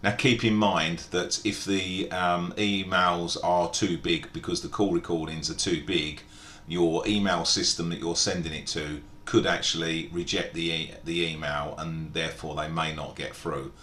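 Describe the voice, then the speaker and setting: A man narrating an informal step-by-step software tutorial in a methodical, explanatory way. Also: flat delivery, measured pace, few pauses. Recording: echoey room, background hiss and rumble.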